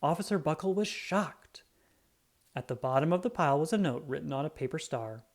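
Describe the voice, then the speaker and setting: Lively, animated children's storybook reader. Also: medium pitch. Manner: animated, dramatic, with drawn-out emphasis. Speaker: children's book read-aloud narrator. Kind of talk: expressive scripted storybook narration for children.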